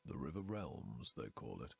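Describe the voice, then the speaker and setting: An actor playing a world-weary, brooding narrator of a ruined fantasy land in a video game intro, grave and theatrical, with hushed word endings. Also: male voice, rumbling low, very animated, moderate pace, vocal fry, a little hiss or hum, heavily acted. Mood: ominous, bitter. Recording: loud music under the voice.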